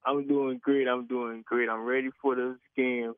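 A man's voice: medium pitch, little pitch movement, a little slow, smooth, dry recording. A man phoning a sports radio show to chat casually as a fan. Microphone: telephone. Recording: thin, dry phone line.

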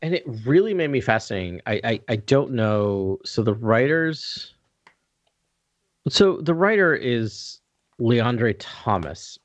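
A man's voice: mid-range voice, big pitch swings, takes it slowly, dry recording, muffled recording. A man on a podcast panel in a casual review discussion, earnest and rambling as he thinks aloud.

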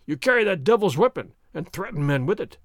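A blustering, boastful army sergeant, as a man puts him on for a storybook reading, pushing his voice high and loud. He sneers and taunts, swinging wildly up and down in pitch. The sound is dry and close. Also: big pitch swings, a little fast, no room sound. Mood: taunting. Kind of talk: audiobook character reading.

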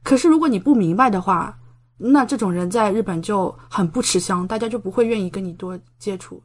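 A woman's voice: medium pitch, fairly quick. Female podcast guest, young adult, mid-range voice, conversational and explanatory delivery. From China, Shanghai area. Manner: chatty, explanatory, gives examples with acted-out dialogue. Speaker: podcast guest, doctoral student in Japan. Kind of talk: casual interview conversation.

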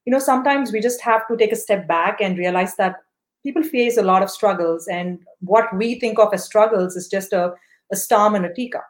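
A woman's voice: medium pitch, moderate pace. Encouraging woman podcast host. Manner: encouraging, full of fillers. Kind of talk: interview hosting.

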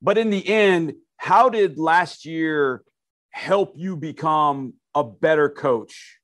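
A male co-host asks long, winding questions with real enthusiasm. His voice sits a little higher than usual for a man. The sound is dry and close. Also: slow pace, a bit bright, dry recording. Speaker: co-host interviewer. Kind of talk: sports interview questions.

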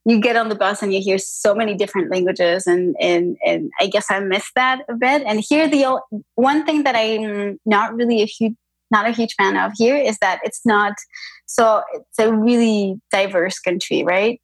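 Husky woman with a French-Spanish accent. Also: medium pitch, normal speed, dry recording, low-quality recording. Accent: French and Spanish influenced. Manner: thoughtful, hesitant, many fillers and restarts. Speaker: yoga teacher, podcast guest. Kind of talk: casual interview chat.

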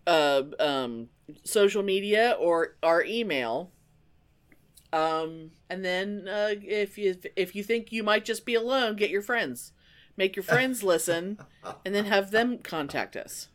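A middle-aged woman co-hosting a podcast in casual chat, halting and repeating herself as she goes. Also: medium pitch, animated. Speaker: podcast co-host.

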